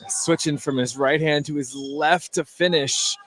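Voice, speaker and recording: A man working as a radio color commentator, explaining basketball plays in a halting, conversational, unscripted way. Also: a little high, fairly even intonation, a crowd in the background. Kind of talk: unscripted radio sports analysis.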